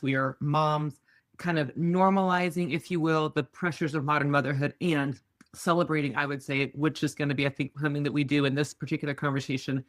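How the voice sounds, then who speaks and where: A woman's voice: deep voice, dry recording, clean studio sound. A woman co-hosting a podcast, talking in an upbeat, rambling way and correcting herself mid-sentence. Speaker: podcast co-host. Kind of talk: casual podcast chat. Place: remote home studio.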